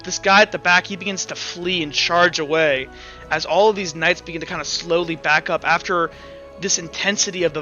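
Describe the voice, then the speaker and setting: A man narrating a tabletop roleplay game as game master, telling the story in halting, deliberate phrases. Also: a little high, bright, forceful tone, dull audio.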